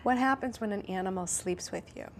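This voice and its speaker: A woman doctor hosting a health interview show, asking follow-up questions and summing up her guest's answers. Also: mid-range voice. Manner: inquisitive, recaps answers back, brisk follow-up questions. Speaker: talk show host, doctor.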